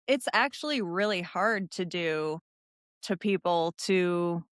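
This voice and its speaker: A woman's voice: fairly low pitch, slow, no room sound, clean studio sound. A middle-aged woman hosting an interview, talking earnestly and encouragingly in long rambling sentences. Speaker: host and coach. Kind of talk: casual interview chat.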